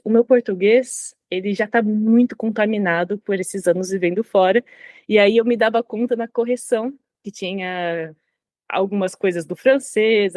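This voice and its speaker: A woman's voice: mid-range voice, darkish tone, dry recording, studio quality. A Brazilian woman being interviewed as a language consultant, speaking reflectively and often restarting her phrases. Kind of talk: conversational interview.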